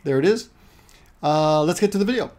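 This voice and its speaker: A man's voice: fairly high pitch, expressive, fairly quick, a little mellow. Rambling middle-aged man teaching Japanese. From Peru. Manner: rambling, self-correcting, joking asides. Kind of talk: casual video lesson.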